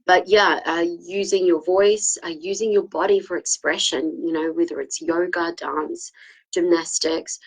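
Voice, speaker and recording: A woman with a slight cold, clearing her throat often, giving a rambling, casual spiritual talk full of fillers and restarts. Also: lowish voice, fairly even intonation, a little slow, poor audio. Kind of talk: casual spoken talk, answering viewers' comments.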